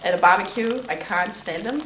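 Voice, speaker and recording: A woman's voice: medium pitch, brisk, fairly bright tone. A woman from Germany, speaking frankly and casually in an interview, with lots of fillers. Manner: frank and chatty, many fillers. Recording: roomy audio with clear background noise.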